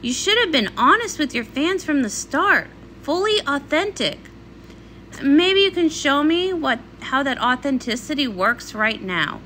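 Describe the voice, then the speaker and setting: A woman's voice: sits high, very animated, measured pace, pushed and bright, a little hiss or hum, full character voice, poor audio. A woman voicing a young, sporty female pony princess, blunt, exasperated and sarcastic, in a comic read-along. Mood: smug, scolding.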